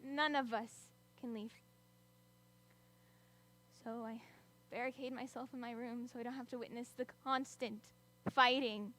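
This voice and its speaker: A woman's voice: on the high side, steady tone, a little slow, fairly bright tone. A young woman performing a dramatic monologue as a teenager stuck at home with fighting parents, speaking in a wry, bitter way that alternates between brooding and sudden outbursts.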